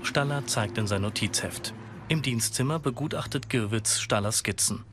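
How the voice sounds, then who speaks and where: A man reading scripted audio description in a neutral, measured manner. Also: mid-range voice, background noise. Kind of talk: scripted present-tense scene narration.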